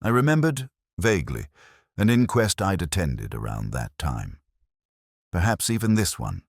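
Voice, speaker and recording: A man narrating a scripted first-person detective story in a measured, reflective, literary manner. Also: a bass voice, dry recording, studio quality.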